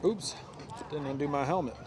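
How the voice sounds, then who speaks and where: A man's voice: a little high, moderate pace, darkish tone, a little hiss or hum. A man chatting casually and informally as he talks through hands-on gear installation, outdoors among friends. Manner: informal, slangy, says dude. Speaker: motorcycle vlogger.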